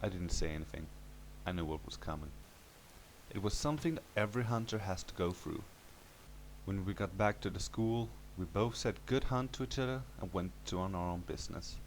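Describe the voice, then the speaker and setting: A man's voice: low voice, brisk, a little hiss or hum, poor audio. A man reading a scripted first-person horror story as its narrator.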